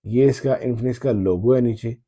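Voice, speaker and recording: A man with a very dark, soft-edged, mellow voice at a middling pitch. He talks casually as a tech vlogger presenting a product, haltingly and often saying a phrase again. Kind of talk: casual phone unboxing commentary.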